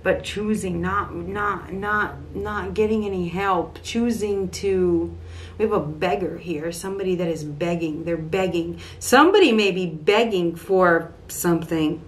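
Middle-aged female tarot reader, slightly low voice, halting improvised delivery with repeated phrases, home recording with audible background noise. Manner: halting, repeats phrases as she thinks aloud. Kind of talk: improvised tarot reading to camera. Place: small home room, indoors. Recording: clear steady background room noise.

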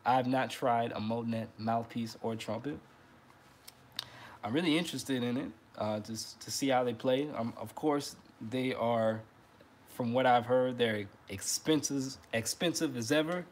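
A man in his thirties hosting a casual trumpet livestream from his living room, relaxed and friendly, often repeating words as he talks. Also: mid-range voice, unhurried, a little hiss or hum. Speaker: trumpet teacher and livestream host.

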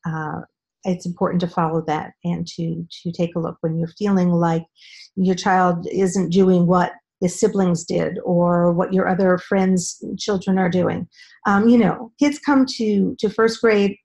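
A middle-aged woman speaking as a guest expert on a video call from a room at home, explaining in a measured way with frequent ums. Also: fairly low pitch, creaky, a little mellow. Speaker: speech-language pathologist guest.

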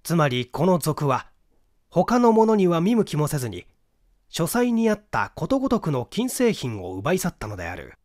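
A man narrating a mystery story from a script in an expressive storytelling manner. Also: fairly high pitch, normal speed, dry recording, studio quality. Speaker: audiobook narrator, stage actor.

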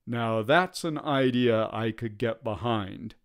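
A man's voice: mid-range voice, slow pace. A man voicing a sceptical, sensible British soldier in a dour, practical manner for a storybook. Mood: persuaded.

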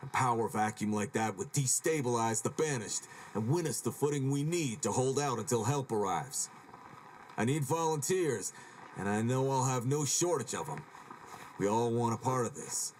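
A man's voice: medium pitch, darkish tone, lightly acted, low-quality recording. A man playing a resolute, grave squad leader in a video game audio drama, speaking in a firm, clipped, decisive way. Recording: faint noise on audio log.

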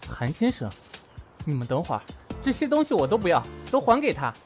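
Man acting proud young man, lively pitch swings. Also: brisk, music in the background. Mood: angry. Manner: curt, emotional. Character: proud, hurt young man, prickly toward his lover. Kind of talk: audio drama acting in Mandarin.

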